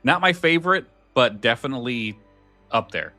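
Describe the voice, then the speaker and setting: A man's voice: medium pitch, animated, moderate pace, sharp tone, no room sound, soft background music. A man co-hosting a podcast, chatting casually in an emphatic, enthusiastic way. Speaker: podcast co-host. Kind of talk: casual chat about scary stories.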